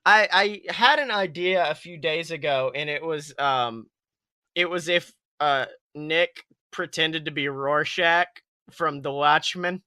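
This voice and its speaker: Man, excitable podcast host, slightly high bright voice, casual comic banter, dry close sound. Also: slow pace, clear, resonant tone, no echo. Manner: playful, excitable. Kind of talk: casual comic chat and story reading.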